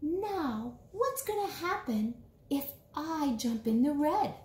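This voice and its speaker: A woman's voice: sits high, big pitch swings, slow, darkish tone, pitched above the performer's own voice, full character voice. A woman reading a storybook as a curious, playful little rabbit who muses aloud and exclaims. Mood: musing. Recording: roomy small-room echo.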